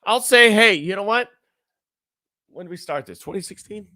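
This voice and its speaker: A man co-hosting morning radio in a dry, close-miked studio booth. He talks in a laid-back, sincere way, and his pitch swings widely as he banters. His voice sits at an ordinary middle pitch. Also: lively intonation, moderate pace, sharp tone, dry recording. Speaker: radio morning show co-host. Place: radio studio, separate room.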